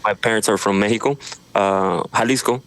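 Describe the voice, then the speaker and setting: A man from Idaho, a social media creator, talking in a relaxed way with halting restarts from his studio. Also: lowish voice, smooth, low-quality recording. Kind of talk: casual interview chat.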